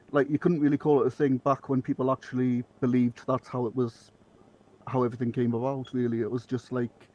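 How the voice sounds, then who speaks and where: A man with a Northeast English accent, from Northeast England, chats loosely on a podcast at an ordinary middle pitch. His sentences ramble and restart, and he is blunt and happy to swear. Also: mellow, highs cut off. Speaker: podcast guest. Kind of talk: casual podcast chat.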